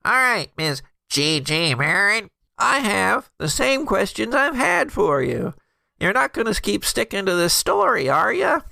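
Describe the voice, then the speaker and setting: A man playing an exasperated, disbelieving small-town sheriff's deputy in an improvised tabletop game, questioning in a halting, folksy way. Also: high-pitched, very animated, gravelly, pushed and bright, no echo, studio quality, voice pushed up, full character voice.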